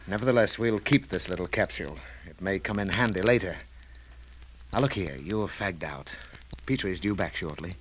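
An actor playing a brisk, commanding detective in a 1930s radio drama, with a clipped, urgent delivery, heard on a tinny, scratchy old recording. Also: male voice, deep voice, breathy edge. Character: brisk commanding British detective, middle-aged man. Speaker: detective lead.

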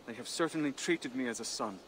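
A man's voice: mid-range voice, fairly quick, slight background noise, close to an everyday voice, rough recording. Man playing earnest, modest young devotee. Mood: humble, sincere. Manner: gentle, sincere, formal. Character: earnest, modest young member of a secret order. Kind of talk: video game cutscene dialogue.

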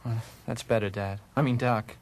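An actor playing a dazed, hard-boiled private detective, wry and hesitant, in film-noir parody style. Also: male voice, mid-range voice, fairly even intonation, moderate pace, a little mellow, no room sound, natural voice.

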